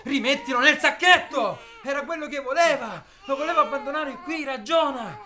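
An actor playing a hard, bossy, cold-blooded safari organizer in a naturalistic radio drama, terse and impatient. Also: male voice, very high voice, moderate pace, sharp tone, heavily acted. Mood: pressing, agitated.

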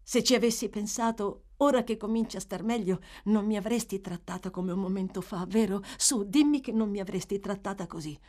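A woman's voice: a little high, a little slow, darkish tone, pitched above the performer's own voice, lightly acted. Woman acting a frail, pleading, long-suffering young woman. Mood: tender, pleading. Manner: pleading, tender. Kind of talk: audiobook character voice.

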